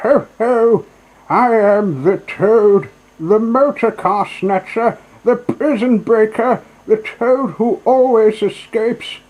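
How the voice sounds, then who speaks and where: A man's voice: sits high, slow pace, darkish tone, pitched above the performer's own voice, low-quality recording. A man voicing a boastful, reckless male toad in a storybook, pompous and grandly self-praising. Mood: boastful, exultant. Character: boastful, reckless male toad, conceited motor car snatcher. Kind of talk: storybook character voice.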